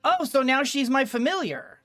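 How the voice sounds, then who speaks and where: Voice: sits high, animated, moderate pace, pushed and bright, dry recording, soft background music. A gamer giving casual let's play commentary, thinking aloud and often repeating words. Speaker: gaming video host.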